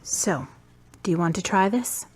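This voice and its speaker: An earnest young woman, as a woman acts her out, speaking directly in a mid-pitched voice. She talks plainly and openly, with a caring tone. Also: animated, a little slow, a little mellow, breathy edge.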